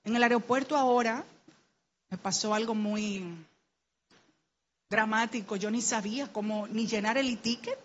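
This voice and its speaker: A woman's voice: a little high, steady tone, measured pace, pauses often, a little hiss or hum. Earnest Dominican woman, muffled recording. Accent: Dominican Spanish. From Dominican Republic. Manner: earnest, heartfelt, grows urgent and exhorting. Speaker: panel speaker. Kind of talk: personal testimony in a panel talk.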